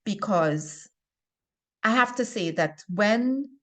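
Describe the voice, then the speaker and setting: A woman from Trinidad and Tobago with a Trinidadian accent gives an informal instructional talk to viewers as a health presenter, speaking deliberately and stressing key words. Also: mid-range voice, animated, fairly bright tone. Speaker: health and wellness video presenter.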